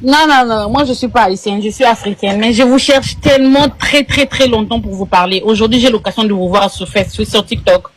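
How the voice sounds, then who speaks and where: A woman's voice: a little high, fairly quick, few pauses, poor audio. A woman from Congo calls into a live stream. She speaks earnestly and repeats words for emphasis. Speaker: caller on a livestream.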